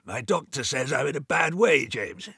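A petulant, sickly old man fretting over doctors and dinners, voiced by a man reading a storybook. The voice rides high and swings widely, bright and crisp in a dry close setting. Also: very animated, a little slow, no echo, full character voice. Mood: irritable. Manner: petulant, complaining. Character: querulous sick old man, bedridden and boastful.

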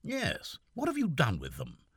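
A man's voice: mid-range voice, wildly swooping pitch, a little slow, a bit bright, husky, dry recording, clean studio sound, pitched above the performer's own voice, heavily acted. A man doing a flustered young army lieutenant. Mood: exasperated. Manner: exasperated, exclaiming. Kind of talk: audiobook character voice.

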